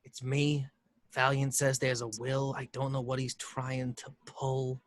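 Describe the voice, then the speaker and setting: A man's voice: medium pitch, completely flat delivery, a little slow, close to an everyday voice. A man playing a wry, hard-boiled private detective, speaking tersely in an amateur script read. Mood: muttered, intent.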